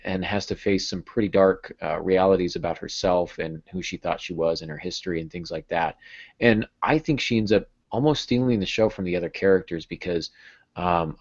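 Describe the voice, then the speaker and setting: A man in his thirties hosting a casual podcast discussion about writing, recorded over a video call at home. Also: deep voice. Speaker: podcast host. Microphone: earbud headset. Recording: dull, lo-fi video-call audio.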